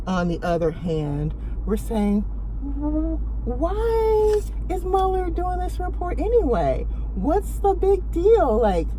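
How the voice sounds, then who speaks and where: Animated American woman, playful comic explainer. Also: on the high side, slight background noise, poor audio. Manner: playful, mimics people she quotes in a mock voice. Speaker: host of a politics explainer show. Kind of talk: casual, comic explainer with stick puppets.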